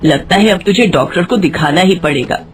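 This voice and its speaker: A woman's voice: fairly low pitch, a little fast, close to an everyday voice. A woman voicing a nagging, suspicious middle-aged village mother-in-law with a scolding, pointed manner in an acted story. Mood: suspicious, stern. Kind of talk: Hindi moral story acting. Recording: music behind the voice.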